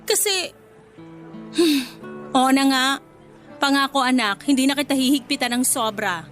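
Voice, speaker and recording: A woman's voice: high-pitched, measured pace, a bit bright, soft background music. A woman acting a protective, feisty middle-aged mother in a radio drama. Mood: mildly annoyed.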